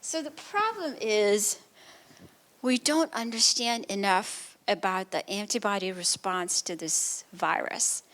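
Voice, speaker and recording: A woman's voice: medium pitch, expressive, takes it slowly, fairly bright tone. A woman from Nepal, a researcher, giving a public science talk and answering audience questions in an earnest, explanatory way. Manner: earnest, explanatory, frequent restarts. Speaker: immunology researcher.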